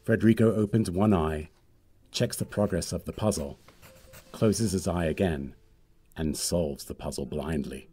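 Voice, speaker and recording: A man's voice: sits low, unhurried, dark tone, breathy edge. A man reading screenplay stage directions as scripted narration.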